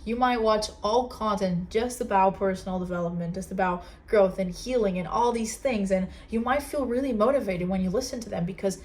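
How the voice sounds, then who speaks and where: A woman's voice: mid-range voice, a little fast, hardly any pauses, darkish tone. A young woman with a Portuguese accent hosting a casual solo podcast, talking earnestly with many fillers and restarts. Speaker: podcast host.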